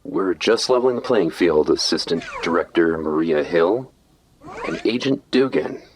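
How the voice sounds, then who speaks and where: A man's voice: sits low, expressive, moderate pace, a little mellow. An actor playing a cocky male billionaire inventor with a sardonic, dismissive manner.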